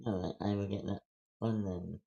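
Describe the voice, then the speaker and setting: A man's voice: deep voice, fairly even intonation, moderate pace, vocal fry, mellow, highs cut off. A man chatting casually as a participant in an online table read. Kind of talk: casual chat. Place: online group voice call.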